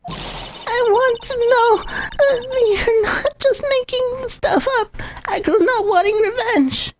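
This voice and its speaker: A woman's voice: very high-pitched, takes it slowly, low-quality recording. A woman voicing a sad, naive young circus girl in a game, with a plaintive, faltering delivery. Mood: insistent.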